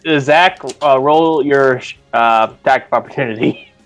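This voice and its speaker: A man's voice: medium pitch, moderate pace, fairly bright tone. Young male game master, conversational tabletop play, faint music underneath. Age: eighteen. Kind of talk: casual tabletop game chat.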